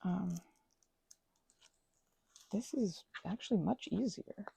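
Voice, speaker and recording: A young woman chatting in a rambling, casual way while she works on a craft project, sometimes dropping into soft whispers. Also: medium pitch, slow, creaky, breathy edge, dry recording. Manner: chatty, rambling, drifts between soft whispers and louder asides. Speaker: ASMR creator.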